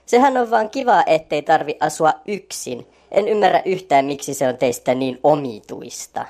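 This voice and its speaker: Woman voicing a low, lively young flying squirrel boy. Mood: indignant. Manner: earnest, chatty, easily puzzled. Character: young male flying squirrel, eager and friendly. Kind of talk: children's storybook reading.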